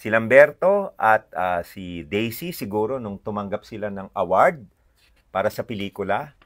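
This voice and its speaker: A man with a Filipino accent informally presenting a book's illustrations, often pausing mid-sentence to find his words. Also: fairly low pitch, expressive. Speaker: read-aloud presenter. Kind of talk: informal commentary showing book illustrations.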